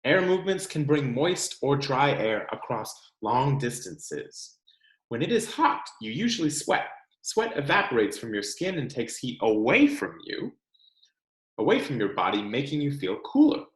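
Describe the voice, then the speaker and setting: An American man reads a children's picture book aloud with expressive, deliberate storyteller phrasing and the occasional casual aside. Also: mid-range voice. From California, United States. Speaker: read-aloud host, teacher reading to children. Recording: echoey room.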